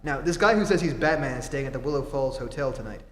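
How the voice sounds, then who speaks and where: An actor playing an earnest, determined reporter who is secretly a superhero, in 1940s radio style. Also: male voice, medium pitch, expressive, fast talker, natural voice. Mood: urgent, insistent. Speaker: amateur theatre actor. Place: theatre stage before a live audience. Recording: echoey hall, live stage performance, noisy.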